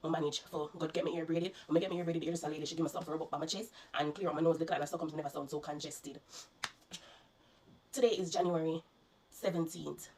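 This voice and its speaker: A Jamaican woman with a low voice and a congested, sniffly sound talks straight to her viewers. She tells her story quickly and earnestly, repeating herself as she warns them. Faint noise sits behind her. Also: steady tone, darkish tone, rough recording. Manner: earnest, repetitive, warning tone. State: congested, coughing. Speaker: vlogger.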